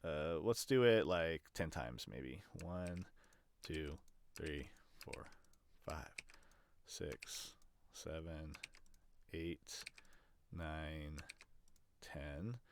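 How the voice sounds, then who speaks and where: A man's voice: very deep voice, drawn-out words, pauses often, noisy background. A man giving a casual, explanatory screen demonstration, thinking aloud in a hesitant way as he presents an audio production tutorial.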